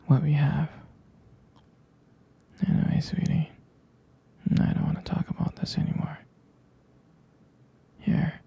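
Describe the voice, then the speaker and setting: A man playing a jealous, protective, devoted young boyfriend in an intimate ASMR roleplay, whispering hesitantly in a breathy, husky voice. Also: fairly high pitch, highs cut off, exaggerated voice. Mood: tender, protective. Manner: hesitant, slow, halting, soft-spoken.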